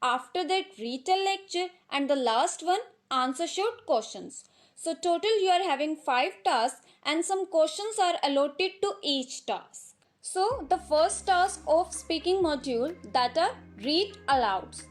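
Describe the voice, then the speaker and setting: A woman's voice: very high voice, animated, measured pace. A woman giving a scripted exam lesson in an instructional, step-by-step manner.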